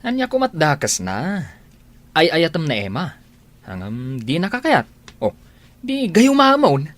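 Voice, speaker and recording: A man playing a young man's frank, excitable Filipino friend in a radio drama, given to exclaiming. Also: on the high side, measured pace. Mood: scolding, surprised.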